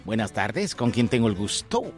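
A man's voice: lowish voice, moderate pace. A man hosting a call-in radio giveaway show, joking and hyping up the listeners. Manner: joking, animated, hypes listeners. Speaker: radio host. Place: radio studio.

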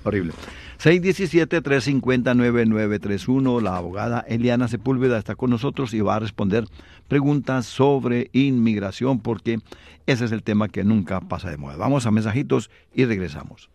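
A man's voice: medium pitch, normal speed, darkish tone. Man hosting a radio talk show. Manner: conversational, brief interjections. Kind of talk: radio talk and read advertisements.

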